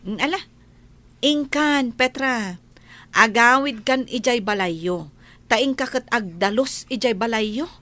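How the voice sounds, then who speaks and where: A woman's voice: a little high, slow, pauses often, sharp tone, dry recording. A woman with an Ilocano accent acting an outspoken, devout village woman who scolds gossips in a radio comedy-drama, speaking in a preachy, emphatic way. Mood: preachy, scolding.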